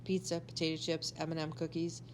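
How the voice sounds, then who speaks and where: A woman with a slightly low voice reads a children's story aloud in an expressive, animated way. She sounds like a teacher telling a tale to pupils. There is faint noise and hum in the recording. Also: a little fast. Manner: animated, acts out dialogue.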